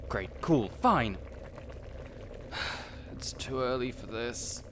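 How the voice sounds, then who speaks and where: A man's voice: medium pitch, a bit bright, slight background noise. A man playing a grouchy, fussy necromancer researcher in an audio roleplay, sarcastic and wordy as he speaks to the listener. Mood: sleepy, grumbling.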